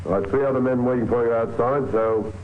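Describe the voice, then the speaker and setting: A man's voice: medium pitch, brisk, lightly acted. A man playing a shrewd, politely firm British police constable in an old radio drama. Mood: smugly triumphant. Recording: tinny, noisy old radio recording.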